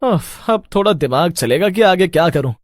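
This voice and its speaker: A man acting an anxious, animated lost traveller. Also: sits high, moderate pace, no echo. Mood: uneasy. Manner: anxious, hesitant, stammering. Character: frightened, bewildered male traveller lost at night. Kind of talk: Hindi animated horror story acting.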